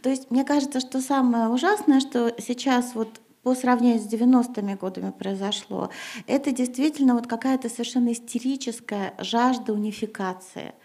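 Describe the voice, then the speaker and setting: A woman's voice: on the high side, steady tone, a little fast, warm tone. A middle-aged woman teacher speaking at a panel discussion before an audience, hesitating with many fillers and then pressing her points with emphasis. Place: gymnasium hall in front of an audience.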